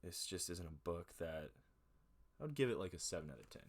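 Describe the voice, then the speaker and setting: A man in his twenties hosting a relaxed show, rambling casually through book reviews with lots of fillers. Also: low-pitched, a little fast. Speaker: host of a music and talk show.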